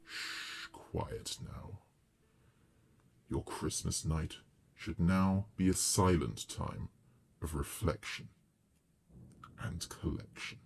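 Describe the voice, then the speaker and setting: A man voicing a huge, ancient, wrathful smoke demon in a horror story, with a deep booming voice and slow, solemn, menacing delivery. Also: expressive, creaky, a little hiss or hum, heavily acted. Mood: hushed menace. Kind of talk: horror audio story acting.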